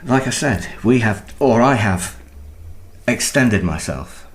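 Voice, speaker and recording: A man's voice: mid-range voice, expressive, takes it slowly. An actor playing an anxious, defensive married man in a naturalistic radio thriller, speaking hesitantly. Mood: anxious, explaining. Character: anxious, defensive married man, expectant father and new homeowner.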